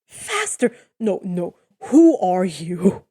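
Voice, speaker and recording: A woman's voice: lowish voice, big pitch swings, takes it slowly, warm tone, husky, voice pushed down, heavily acted. A woman as Odysseus, a wary, commanding captain. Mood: astonished. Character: wary, astonished male Greek ship captain Odysseus.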